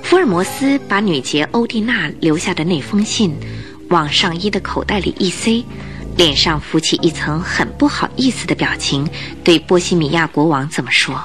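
A woman's voice: lowish voice, animated, normal speed, a bit bright, faint music behind. A woman reading scripted narration for a Mandarin radio drama.

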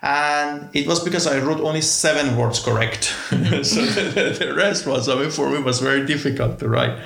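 A man's voice: fairly high pitch, moderate pace, creaky, fairly bright tone. A man from Iran, a guest telling his life story in a rambling, wry way. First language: Persian. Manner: rambling, wry, jokes about his past. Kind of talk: storytelling in conversation. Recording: echoey room.

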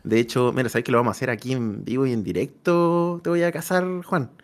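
A man's voice: mid-range voice, a little fast. A man with a Chilean accent chatting casually and colloquially as co-host of a role-playing livestream. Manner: colloquial, slangy.

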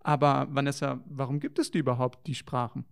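A man's voice: mid-range voice, fairly even intonation, fairly quick, gravelly. A man co-hosting a children's show, talking in a playful, wondering way to children in a scripted dialogue.

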